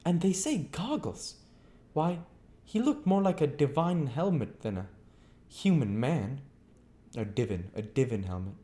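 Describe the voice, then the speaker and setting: A man's voice: mid-range voice, sing-song, a little slow, warm tone, pitched above the performer's own voice. A man voicing a chatty, deferential middle-aged country landlady who rambles in folksy phrasing for a storybook reading. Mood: wondering.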